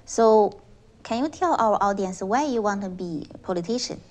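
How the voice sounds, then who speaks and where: A woman's voice: mid-range voice, expressive, moderate pace, a little mellow. A woman hosting an interview who asks short questions and backs the guest with frequent murmurs. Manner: brief prompts, frequent "mm" backchannels.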